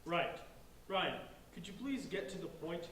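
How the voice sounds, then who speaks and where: A woman's voice: fairly low pitch, animated, unhurried, fairly bright tone. A woman playing a dry, practical young friend in a staged reading, terse and matter-of-fact. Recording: distant, echoey, noisy stage recording.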